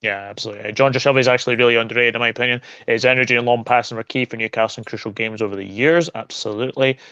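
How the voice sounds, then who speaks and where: A man hosting a casual football chat, relaxed and reading out viewer comments. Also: mid-range voice, very fast, smooth, no echo. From Scotland. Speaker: host. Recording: dry, dull lo-fi mic.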